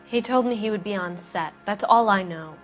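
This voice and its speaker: A woman's voice: mid-range voice, normal speed, faint music behind, close to an everyday voice. Woman acting a jaded, cool noir wife. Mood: evasive. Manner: cool, knowing, challenging. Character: jaded, evasive wife of a Hollywood film producer. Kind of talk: 1940s noir game acting.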